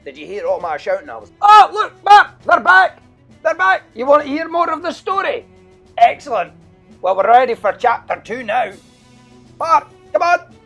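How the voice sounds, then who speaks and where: A man putting on the voice of an excitable listener introducing a storybook audio play with gushing chatter. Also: very high-pitched, moderate pace, gravelly, faint music behind, pitched above the performer's own voice, full character voice. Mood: excited.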